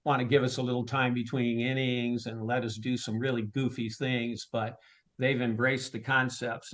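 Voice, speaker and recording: Man chatting casually, a PA announcer. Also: mid-range voice. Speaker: stadium public address announcer and marketer. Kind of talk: casual interview answers.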